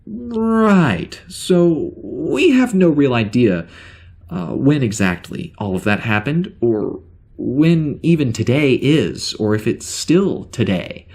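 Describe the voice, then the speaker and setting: A male actor plays a bewildered starship security officer recording an audio drama log. He speaks slowly and haltingly, tripping over his words. His pitch swings widely, and he sounds worn out and unsure of himself. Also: vocal fry, a little mellow, full character voice. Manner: halting, stammering delivery.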